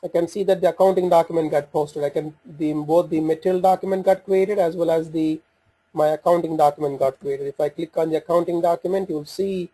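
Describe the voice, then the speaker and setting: A man giving an unscripted software walkthrough, talking through each step in a halting way as he thinks aloud. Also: on the high side, breathy edge, poor audio. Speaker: software demo presenter.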